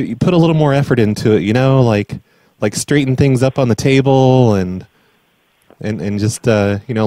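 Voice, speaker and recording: A man with a relaxed, mellow voice makes a prank call in total deadpan. He rambles and hesitates as if sincere. The sound is dry, with a mid-range pitch. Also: no echo. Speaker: prank caller and podcast host. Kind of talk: prank phone call posing as an earnest caller.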